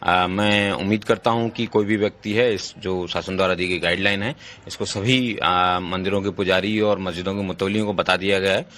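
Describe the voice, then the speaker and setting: Casting: low-pitched male official, formal and hesitant, addressing the press. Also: steady tone, moderate pace, a bit bright, slight background noise. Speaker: government official.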